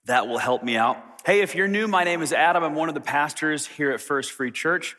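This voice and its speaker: A pastor preaching a casual, friendly sermon to his congregation, his throat a little raspy after an illness. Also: fairly high pitch, fairly quick. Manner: friendly, conversational, lively. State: slightly raspy throat after being sick. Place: church sanctuary. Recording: reverberant hall.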